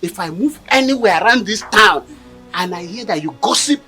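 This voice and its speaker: A woman's voice: mid-range voice, lively intonation, moderate pace, bright, forceful tone, faint music behind. An actress playing an angry, mocking husband who threatens and orders a woman out. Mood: scornful. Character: angry husband throwing out a gossip.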